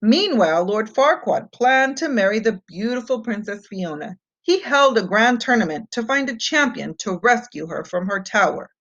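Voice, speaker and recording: A woman reading a children's fairy tale aloud as an expressive storyteller. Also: fairly high pitch, normal speed, hardly any pauses. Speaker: storybook reader. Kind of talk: scripted children's read-aloud narration. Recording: muffled, dull lo-fi home recording.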